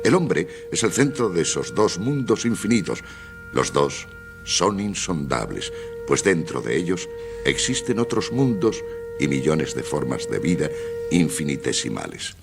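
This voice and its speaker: An actor playing a learned, authoritative doctor in a radio drama, speaking in a didactic, declamatory way. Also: male voice, extremely low pitch, sing-song, a little slow, gravelly, exaggerated voice. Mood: wondering, didactic. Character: learned, authoritative male doctor aboard a submarine, philosophical. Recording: loud music behind the voice.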